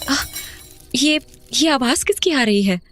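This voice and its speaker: An actress as a high-pitched, expressive uneasy mother. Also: takes it slowly, slight background noise, heavily acted. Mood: surprised, questioning. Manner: questioning, expressive. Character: uneasy young mother back in childhood home. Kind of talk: Hindi horror audio drama acting.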